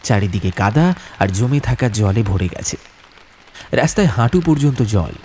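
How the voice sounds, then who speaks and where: A man narrating a horror audiobook as a storyteller, with suspenseful, atmospheric delivery and deliberate phrasing. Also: lowish voice, animated, darkish tone, a little hiss or hum.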